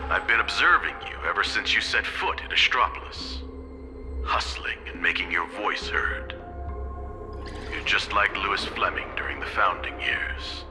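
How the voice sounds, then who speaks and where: Man acting a deep-voiced, stern corporate elder. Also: close to an everyday voice. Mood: weighty, deliberate. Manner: measured, formal, authoritative. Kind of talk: dramatic video game voice acting. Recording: loud music behind the voice. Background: music.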